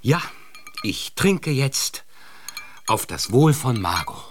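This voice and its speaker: An actor playing a devoted but uneasy, self-doubting husband in a radio drama. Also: male voice, mid-range voice, sing-song, slow pace, noisy background. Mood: uneasy, confiding. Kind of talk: German radio drama acting.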